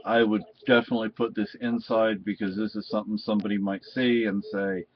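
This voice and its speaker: A man giving a casual, unscripted product review in a halting, rambling way. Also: fairly low pitch, little pitch movement, a little hiss or hum. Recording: dull, muffled lo-fi sound.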